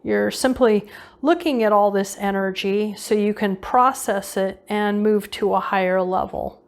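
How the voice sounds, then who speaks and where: A woman's voice: medium pitch, a little slow. A woman leading an unscripted guided visualization meditation, giving casual instructions and often repeating her phrases.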